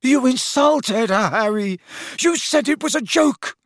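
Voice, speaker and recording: A man voicing a lovesick teenage boy in an audiobook, breathy and strangled, moony and overwrought. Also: high-pitched, takes it slowly, no echo, studio quality, pitched above the performer's own voice, exaggerated voice. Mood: indignant. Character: lovesick teenage boy under a love potion, dreamy then furious. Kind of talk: audiobook character voice.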